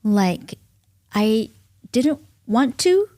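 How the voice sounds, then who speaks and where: A woman with a Singaporean accent hosts a solo podcast in a studio, speaking earnestly and reflectively in deliberate phrases with pauses. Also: a little high, sing-song, mellow. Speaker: podcast host.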